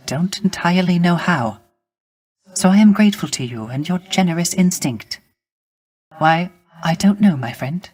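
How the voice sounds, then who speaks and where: A man playing a gentle, melancholy male musician of the underworld in a video game, speaking formally and courteously with wistful, poetic, old-fashioned phrasing. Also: fairly high pitch, husky. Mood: humbly grateful. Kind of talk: scripted video game character acting.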